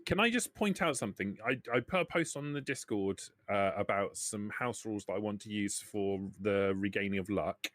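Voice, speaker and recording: A man's voice: lowish voice, normal speed, hardly any pauses, fairly bright tone, no room sound. A man acting as game master, explaining the rules of a tabletop role-playing game.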